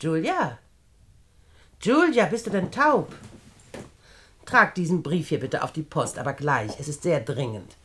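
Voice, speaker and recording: Low-voiced, domineering old aunt. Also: female voice, animated, measured pace, pauses often, slight background noise. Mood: brisk, imperious. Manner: imperious, coquettish, theatrical. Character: domineering, sly, rich old widowed aunt. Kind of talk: German radio play acting.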